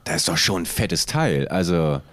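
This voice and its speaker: A man's voice: sits low, lively intonation, normal speed, fairly bright tone. A man co-hosting a casual comedy podcast, rambling in slang with mock indignation. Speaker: podcast co-host.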